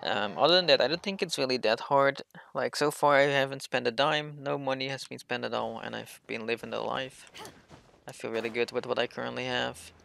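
A man's voice: mid-range voice, fairly quick, creaky, sharp tone. A young man streaming a game, chatting casually with viewers and commenting on his play. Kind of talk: casual live stream chat and gameplay commentary.

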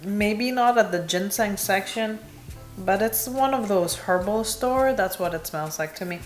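A young woman from Indonesia reviewing perfumes in casual, candid chat, laughing at herself, filmed in a small bright room. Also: mid-range voice, unhurried, reverberant, soft background music. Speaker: beauty vlogger.

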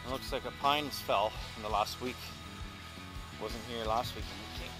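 A middle-aged man narrating a canoe trip vlog outdoors, talking in a relaxed, rambling way with many fillers, recorded on an action camera. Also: medium pitch, moderate pace, over music, low-quality recording. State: walking while carrying a pack. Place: outdoors on a windy lakeshore and forest trail. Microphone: action camera held or worn. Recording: wind noise on action camera.

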